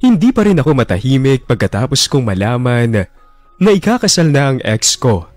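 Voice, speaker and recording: A man's voice: medium pitch, big pitch swings, normal speed. A man narrating a heartbroken first-person love story in a radio drama. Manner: brooding, heartbroken confession. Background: soft music bed.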